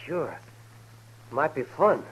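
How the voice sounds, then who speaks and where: An actor playing a leering, rough-spoken outlaw henchman in a 1950s radio western, curt and callous. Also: on the high side, big pitch swings, slow pace. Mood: callous, offhand. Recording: muffled, faintly noisy 1950s radio recording.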